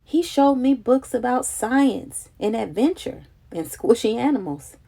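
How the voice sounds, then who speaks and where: A woman reading a children's picture book aloud in an expressive, dramatic, childlike storytelling manner. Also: a little high, a little slow, vocal fry, breathy edge.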